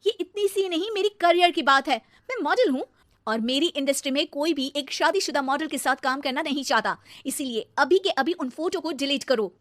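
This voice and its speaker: Casting: female narrator as spoiled young model daughter-in-law, very high voice, indignant storybook diva, English phrases dropped into Hindi. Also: normal speed, no room sound, studio quality, close to an everyday voice. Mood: angry, demanding. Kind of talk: animated storybook character acting.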